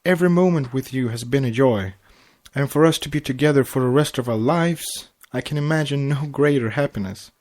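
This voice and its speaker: Man as an earnest stallion groom, medium pitch. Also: fairly quick, darkish tone, close to an everyday voice. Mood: adoring.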